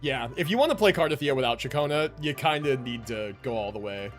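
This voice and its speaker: A man's voice: mid-range voice, expressive, a little fast, faint music behind. A man casually commentating a live game stream, chatting with viewers in rambling, informal gamer slang.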